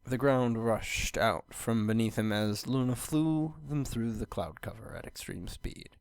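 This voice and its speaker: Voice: medium pitch, a little slow, no room sound. A narrator reading a scripted fan fiction story aloud.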